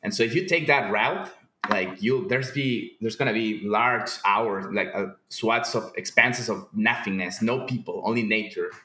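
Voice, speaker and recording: A man from Peru speaking casually as an interview guest, informal and halting, repeating words as he explains. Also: fairly low pitch, bright, forceful tone. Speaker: podcast guest, company founder. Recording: roomy, echoing sound.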